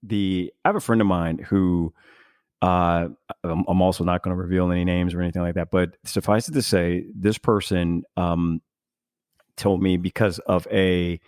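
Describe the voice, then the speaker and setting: A man's voice: sits low, steady tone, normal speed, a little mellow, clear, resonant tone, no room sound, studio quality. A man hosting a podcast in casual, reflective conversation, speaking earnestly and emphatically as he searches for his words. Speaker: podcast host.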